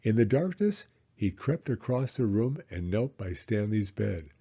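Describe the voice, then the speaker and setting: A man reading a children's story aloud in a deliberate, expressive storytelling style. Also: lowish voice, slightly rough, muffled recording. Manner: deliberate, acts out character voices. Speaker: children's audiobook reader.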